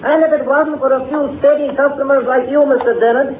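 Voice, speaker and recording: An actor playing a rambling, folksy old horse-carriage driver, nostalgic and deferential, in 1930s radio drama style. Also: male voice, very high-pitched, steady tone, fast. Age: older. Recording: tinny, noisy, echoey 1930s radio recording.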